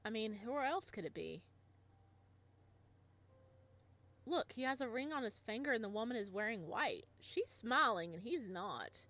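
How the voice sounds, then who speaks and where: A woman voicing a cheerful, teasing woman friend in a visual novel, brisk and matter-of-fact. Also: fairly high pitch, very animated, pushed and bright, heavily acted. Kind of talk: reading a visual novel aloud.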